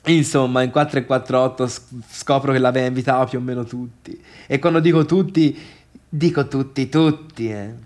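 A man's voice: mid-range voice, steady tone, fairly quick, a little mellow, natural voice. Guy doing chatty gossipy tuscan villager, grumbly, colloquial, radio play monologue. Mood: gossipy, incredulous. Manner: colloquial, grumbling, exclamatory storytelling. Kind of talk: theatrical comic monologue for radio drama.

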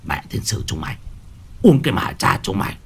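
A man voicing a gruff old male village shaman who barks curt orders in a low growl. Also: big pitch swings, fairly quick, exaggerated voice. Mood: harsh, scolding.

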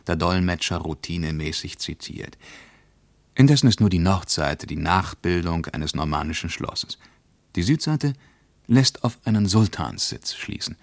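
An actor playing a reflective, disenchanted traveller reading his diary in a radio play, measured and sober. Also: low voice, dry recording.

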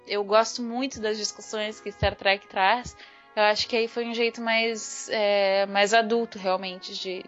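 Brazilian girl on a fan podcast, slangy thinking out loud, bit muffled audio, music underneath. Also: fairly high pitch. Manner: informal, slangy, thinking aloud. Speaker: podcast co-host. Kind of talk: casual fan discussion. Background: background music.

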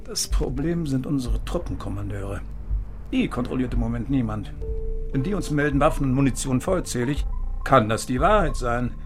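A man acts an older East German general in a radio drama, weary and sardonic. His pitch swings widely through mocking questions and curt commands, with faint music underneath. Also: expressive, moderate pace, lightly acted. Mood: brisk, pondering. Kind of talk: radio crime drama acting.

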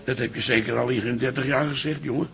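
An actor playing a gentle, plain-spoken older father in a radio drama. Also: medium pitch, steady tone, fast talker, creaky. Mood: subdued, tender.

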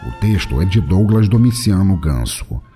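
A man's voice: sits low, sing-song, normal speed. A Brazilian man delivering dramatic scripted narration for an audio drama. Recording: narration over loud background music.

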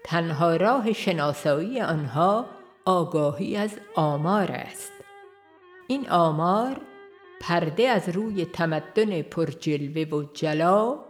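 A woman with a fairly low voice reads an audiobook in a calm, measured way, pausing gently between phrases. Soft music plays faintly beneath her narration. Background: soft background music.

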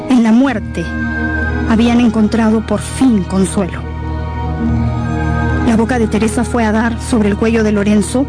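A woman narrator telling a radio novel in a solemn, suspenseful, dramatic scripted style. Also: fairly high pitch. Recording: dramatic music behind the voice.

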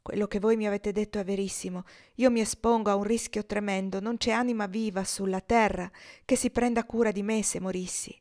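A woman's voice: mid-range voice, fairly even intonation, a little fast, hardly any pauses, darkish tone, voice pushed up, close to an everyday voice. A woman voicing a desperate young husband who pleads and turns bitter, in a read-aloud story. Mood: pleading.

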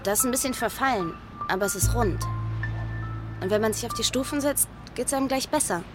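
A blunt, matter-of-fact young street girl played by a woman in a radio play. Her voice is fairly high and cool, with music running underneath. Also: a little fast, natural voice. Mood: casual. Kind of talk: German radio drama acting.

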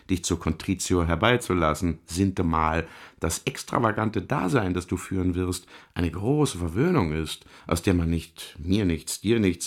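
An actor playing a sardonic, eloquent devil in a literary radio drama, gravelly and theatrical, savouring each word with mockery. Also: male voice, low-pitched. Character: sardonic, eloquent devil lecturing on Hell's torments.

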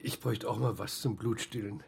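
An actor playing a bookish bookseller and obliging detective's sidekick in a radio drama, literate and explanatory. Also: male voice, lowish voice, steady tone, a little fast, creaky, over music. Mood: hurt, grateful.

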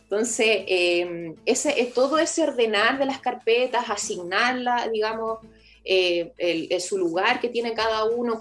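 A woman with a Chilean accent giving halting, explanatory answers as an official in an informal radio interview, often rephrasing as she goes. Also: mid-range voice. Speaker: municipal project official being interviewed.

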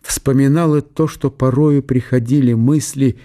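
A man narrating a literary radio play in a measured, storytelling manner. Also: mid-range voice, fairly even intonation, mellow.

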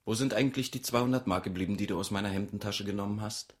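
An actor playing a weary, petty husband in a radio drama, grumbling and dryly defensive. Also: male voice, on the low side, fast talker, close to an everyday voice. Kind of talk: naturalistic German radio drama acting.

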